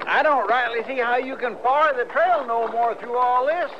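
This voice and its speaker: A folksy, complaining cowboy sidekick talks in a very high-pitched voice. The old radio western recording is dull and clearly noisy. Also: male voice, moderate pace. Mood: worried, complaining.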